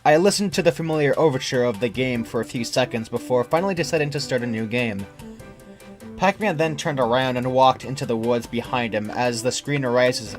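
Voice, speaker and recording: A man reading a scripted first-person creepypasta story as its narrator. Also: mid-range voice. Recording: music under the narration.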